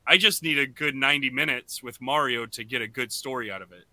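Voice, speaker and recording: Male podcast co-host, conversational, piercingly bright tone, very dry sound. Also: medium pitch, quick pace, dry recording. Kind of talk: casual panel discussion.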